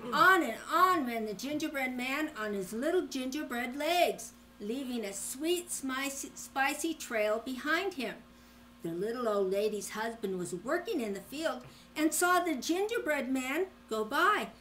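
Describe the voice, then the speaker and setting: An older woman, a grandmother, reading a children's story aloud in an animated style. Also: high voice, measured pace, a little hiss or hum, poor audio.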